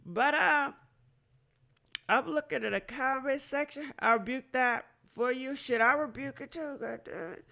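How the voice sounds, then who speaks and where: A woman's voice: sits high, a little slow, gravelly, sharp tone. A woman hosting a casual live talk show, her nose stuffed up, talking frankly and swearing as she muses aloud. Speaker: host.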